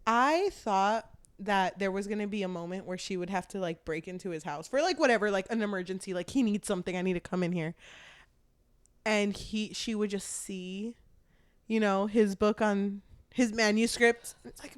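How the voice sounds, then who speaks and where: A woman talks as a book podcast co-host in an easy, conversational style. Her pitch sits in the middle for a woman. She is irreverent and jokey, and she swears freely as she goes. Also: moderate pace. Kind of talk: casual book discussion chat.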